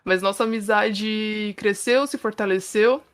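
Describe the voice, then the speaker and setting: Brief: thirtyish São woman co-host, mid-pitched, talkative and friendly with the audience. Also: fairly even intonation, a little slow, slight background noise. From São Paulo, Brazil. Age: 30s. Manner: chatty, laughs often, frequent tag questions. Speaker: podcast co-host. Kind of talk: casual podcast chat.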